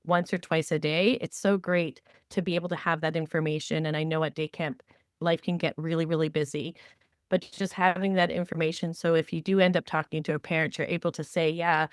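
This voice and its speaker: Woman podcast host chatting advice, lowish voice, really dry close sound, keen and emphatic. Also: dry recording.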